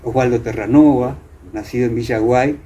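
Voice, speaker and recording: An Argentine man recounting history conversationally as an interview guest, halting now and then as he searches for names. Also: mid-range voice, steady tone, warm tone. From Entre Ríos, Argentina. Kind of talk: conversational storytelling. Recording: muffled, clear background noise.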